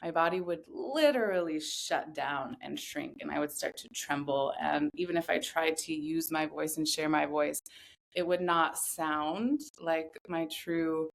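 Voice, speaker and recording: A woman in her thirties speaking as a podcast guest, telling her story in a reflective, earnest way with measured, deliberate phrasing. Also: low-pitched, creaky, a little hiss or hum. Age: 30s. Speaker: podcast guest, somatic voice guide. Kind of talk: reflective personal interview talk.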